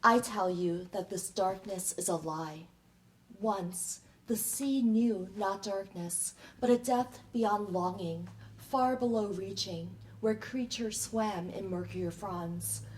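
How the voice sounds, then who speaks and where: A woman reciting poetry live with solemn, deliberate phrasing, recorded with faint room noise. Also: mid-range voice, poor audio. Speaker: poet and performer in an ensemble. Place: public library event before an audience.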